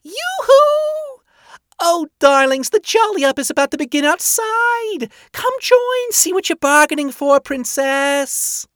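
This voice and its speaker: A narrator playing a flamboyant society hostess, a woman pitched very high with big singsong swoops. She is gushing, cheery and bossy, a theatrical audiobook character. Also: big pitch swings, slow, fairly bright tone, no room sound, studio quality, full character voice. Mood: cheery calling. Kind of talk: acted audiobook dialogue.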